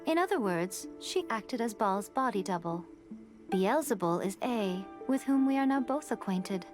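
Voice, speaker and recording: An actress playing a poised, sly fox spirit in an anime-style video game, speaking in a measured, formal, knowing way. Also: female voice, mid-range voice, lively intonation, close to an everyday voice. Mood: composed. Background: game music.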